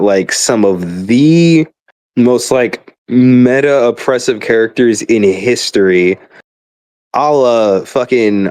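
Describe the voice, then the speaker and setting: A man's voice: mid-range voice, a little mellow, clean tone, no room sound. Profane young man on a rambling rant. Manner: profane, exasperated, hyperbolic; stammering repeated starts. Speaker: gamer.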